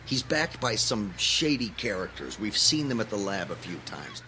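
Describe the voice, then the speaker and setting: A man's voice: lowish voice, sing-song, moderate pace, pushed and bright, slight background noise, low-quality recording. A man acting in an audio drama as an earnest, idealistic young graduate student with an intense, preachy manner. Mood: earnest, explaining.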